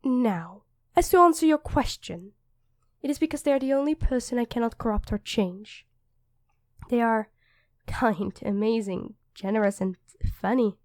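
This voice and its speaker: A woman's voice: fairly high pitch, moderate pace, a little mellow, no echo, clean studio sound, close to an everyday voice. A woman playing a feisty, protective demon queen who is a devoted girlfriend, commanding yet affectionate, in an ASMR roleplay. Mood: tender, earnest.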